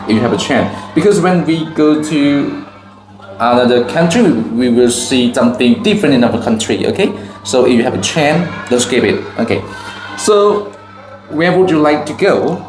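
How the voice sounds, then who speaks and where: A man's voice: mid-range voice, expressive, moderate pace, darkish tone. Man, echoey interview. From Cambodia. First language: Khmer. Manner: simple broken English, answers each reply with "okay". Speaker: teacher and channel host. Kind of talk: classroom question-and-answer video. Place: school campus. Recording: echoey, with faint background music.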